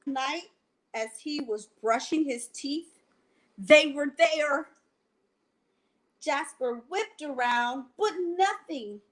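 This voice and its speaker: A woman hosting a bedtime storytime, addressing children directly and reading a picture book aloud with deliberate phrasing. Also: high voice, animated, rough recording. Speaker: library storytime host and reader. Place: at home, indoors.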